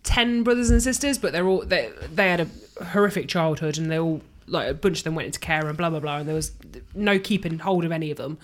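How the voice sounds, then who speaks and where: A woman's voice: sits low, quick pace, few pauses. Giggly woman telling stories. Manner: storytelling, giggly, animated asides. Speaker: podcast co-host. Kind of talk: casual pub-style chat.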